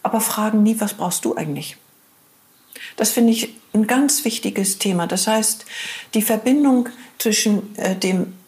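A woman's voice: medium pitch, a little slow, a little mellow. A woman in her sixties, a body therapist guest on a podcast, talking in an earnest, musing way with many hesitant fillers. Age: 60s. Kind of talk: reflective conversational interview. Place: lives in Hamburg.

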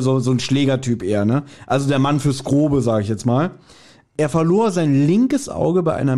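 A man's voice: medium pitch, darkish tone. A man co-hosting a podcast, recapping an audio drama in a casual, colloquial way. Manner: colloquial, acts out quotes animatedly. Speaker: podcast co-host.

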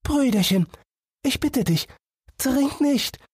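An anxious little girl from a storybook, as a male narrator puts her on. Her voice is pitched very high and husky. She sounds tender and pleading, devoted to her brother. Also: expressive, takes it slowly, darkish tone, dry recording, clean studio sound, full character voice.

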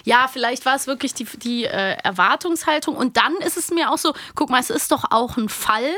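A woman's voice: high-pitched, lively intonation, brisk, bright, forceful tone. A woman hosting a magazine show in casual review chat. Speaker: audio drama magazine host. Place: close, dry recording.

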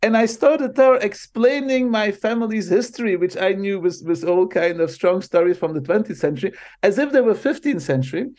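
High-voiced Belgian man telling stories. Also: brisk, hardly any pauses, darkish tone. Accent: Belgian Dutch. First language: Dutch. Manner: storytelling, often doubling "so, so", laughing between phrases. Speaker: guest, marketing professor. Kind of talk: casual podcast conversation.

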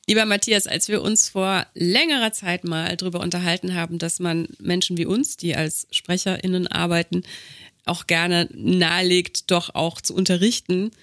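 A woman's voice: on the low side, steady tone, normal speed, fairly bright tone, dry recording. Bilingual woman hosting a podcast, dry sound. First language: German and English. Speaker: podcast host, voice actress. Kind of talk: podcast hosting, scripted intro and casual chat.

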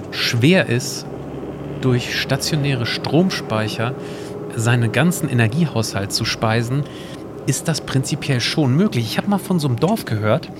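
A man playing a calm, knowledgeable time traveler in an amateur audio drama, explaining things conversationally. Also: mid-range voice, background noise, lightly acted. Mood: earnest.

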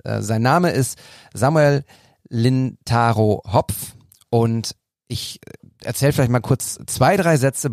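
A man's voice: medium pitch, darkish tone, dry recording, studio quality. A man hosting a podcast, chatty and a bit jokey, sounding a little tired late in the evening. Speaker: podcast host.

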